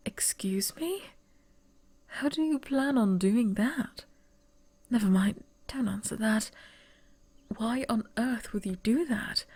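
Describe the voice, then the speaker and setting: A woman's voice: on the high side, breathy edge, full character voice. A woman playing a whispering flustered young noblewoman. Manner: soft whisper, stammers when flustered. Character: young noblewoman who would be heir, flustered and wary. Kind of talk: whispered ASMR roleplay.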